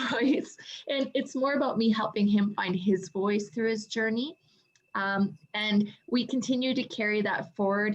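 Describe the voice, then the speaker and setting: A woman speaks earnestly over slightly muffled audio, telling a personal story. She restarts her sentences often. Her voice sits in a middle pitch. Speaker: co-author guest. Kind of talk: casual video call chat.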